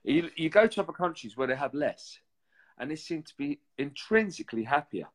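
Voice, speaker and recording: A man's voice: fairly high pitch, sing-song. A man, a guest on a chat show, who talks reflectively and often stops and restarts his sentences. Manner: reflective, halting with repeated restarts. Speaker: guest, former reality TV contestant. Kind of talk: casual interview chat.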